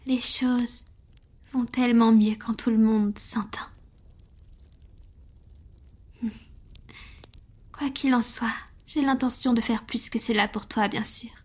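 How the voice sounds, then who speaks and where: Woman playing a breathy, slow-spoken, loving vampire lady. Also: on the high side. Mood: tender, generous. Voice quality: breathy, often whispering. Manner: slow, gentle, affectionate, with pauses. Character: tender, devoted female vampire in love with a mortal. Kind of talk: French roleplay audio, soft intimate ASMR acting.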